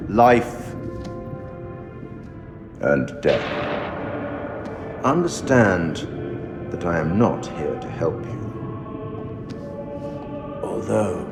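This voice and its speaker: An actor playing a mysterious, ominous curator of tales in a video game, speaking in a grave, formal, theatrical manner. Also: male voice, medium pitch. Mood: aloof, mysterious. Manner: grave, deliberate, cryptic, formal. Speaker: narrator addressing the player. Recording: echoey hall with loud music behind.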